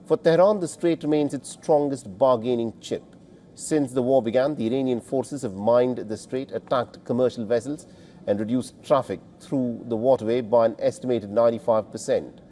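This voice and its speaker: A man's voice: medium pitch, moderate pace, warm tone, a little hiss or hum. A man reading scripted news narration as a presenter, in a formal, emphatic delivery.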